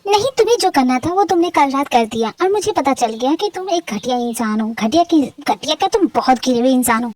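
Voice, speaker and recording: A high, bright-pitched young woman, fiery and wounded, firing off heated accusations in a storybook reading voiced by a woman. Her lines come in rapid, pleading bursts. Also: animated, slight background noise. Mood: accusing.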